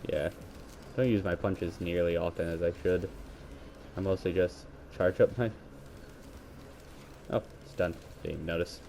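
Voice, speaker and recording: Male gamer, low mellow scratchy voice, rambling play-by-play into a headset, faint hiss behind. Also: steady tone, unhurried, creaky, pauses often. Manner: rambling, self-correcting play-by-play. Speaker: gaming video creator. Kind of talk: let's play commentary. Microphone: gaming headset.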